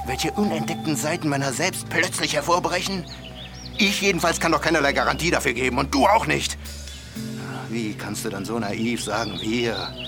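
Radio play role: a bitter, brooding man-ape hybrid, probing and self-lacerating, music underneath. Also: on the high side. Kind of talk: German radio drama acting.

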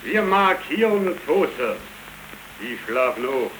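A man's voice: on the high side, sing-song, slow pace, a bit bright, slight background noise, heavily acted, poor audio. An actor playing a cynical, hungry, scornful young man out of work, with mocking, declamatory delivery on an old 1930s German radio play recording.

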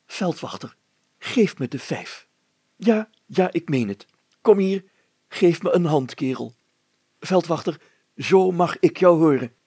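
Man putting on a pompous high-pitched mayor. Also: lively intonation, slow pace, long pauses, dark tone, slightly rough, no echo, heavily acted. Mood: effusive, self-important. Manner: grandiose, declaiming. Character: pompous, excitable middle-aged male mayor, theatrical and effusive.

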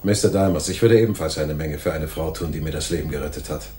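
Calm, deep-voiced police detective. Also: male voice, a little hiss or hum. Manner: measured, firm, reasoning. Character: calm, authoritative, fair-minded male police detective. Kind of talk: 1980s German radio crime drama acting.

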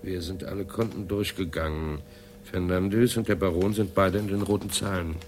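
Actor as polite methodical assistant in old radio play, low voice, bit of hum and keyboard noise. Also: male voice, fairly even intonation, moderate pace, close to an everyday voice. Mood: polite, businesslike. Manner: polite, explanatory. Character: deferential, methodical assistant presenting computer findings. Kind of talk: 1980s German radio play acting.

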